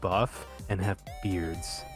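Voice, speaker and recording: A man's voice: low voice, fairly even intonation, very slow, over music. A young man chatting casually on a stream, rambling with lots of fillers and restarts. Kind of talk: casual chat.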